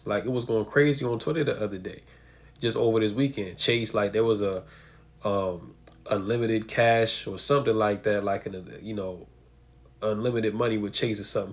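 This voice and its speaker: A man with a stuffy nose from a cold, giving emphatic preaching commentary and asking rhetorical questions. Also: fairly low pitch. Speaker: religious commentator.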